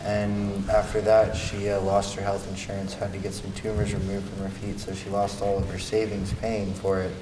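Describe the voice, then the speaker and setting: A man's voice: lowish voice, completely flat delivery, moderate pace, few pauses. A twenty-year-old man giving a plain personal account as an interview guest. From born in Arizona. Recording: echoey, distant, clear background noise.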